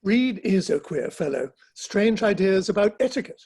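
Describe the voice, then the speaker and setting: A gossipy, bemused Victorian literary gentleman performed by a man with a notably high, rough-edged voice. He speaks in clipped, droll phrases, as in a staged reading of a period play. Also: expressive, normal speed, exaggerated voice. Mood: droll disapproval. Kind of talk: dramatic play reading over the internet.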